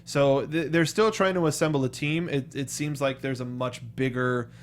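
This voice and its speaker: A man's voice: medium pitch, fairly even intonation, moderate pace, slight background noise. An American man co-hosting a review show, talking casually with slangy, enthusiastic fan talk. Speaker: co-host of a film review show. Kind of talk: casual panel chat and review.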